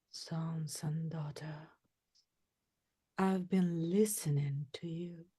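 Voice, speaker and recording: A woman speaking as a tender ancestral mother spirit in a ritual invocation, slow and partly whispered. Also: on the low side, darkish tone.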